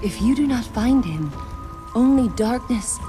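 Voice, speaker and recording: A woman's voice: on the high side, animated, unhurried, music in the background. A woman playing a weakened, earnest goddess of dawn in a video game, pleading in solemn, formal speech. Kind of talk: dramatic video game acting.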